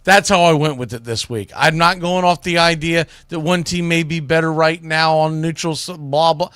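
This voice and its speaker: Higher-voiced man hosting casual sports talk. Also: normal speed, a bit bright, smooth, no room sound, studio quality. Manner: opinionated, colloquial, talking directly to listeners. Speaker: sports talk show host. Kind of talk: casual sports commentary and ranking.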